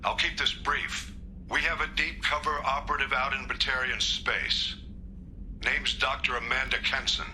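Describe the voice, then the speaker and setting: An actor playing a stern military admiral in a video game, giving a formal, businesslike briefing in clipped phrases. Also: male voice, mid-range voice, flat delivery, normal speed, bright, forceful tone, a little hiss or hum. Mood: grave, urgent. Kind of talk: dramatic video game dialogue.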